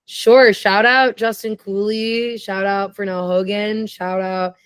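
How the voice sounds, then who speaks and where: A young woman guesting on a podcast, chatting eagerly in a low, raspy voice with plenty of vocal fry. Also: flat delivery, no echo. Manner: chatty and enthusiastic, quick tangents. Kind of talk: casual chat.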